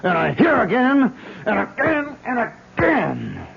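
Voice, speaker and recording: An actor playing a tormented man who hears ghost voices in an old radio drama, agitated and husky. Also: high voice, a little slow, heavily acted. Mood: tense. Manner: agitated, swinging between calm and outbursts. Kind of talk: 1970s radio drama acting. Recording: muffled lo-fi old radio broadcast.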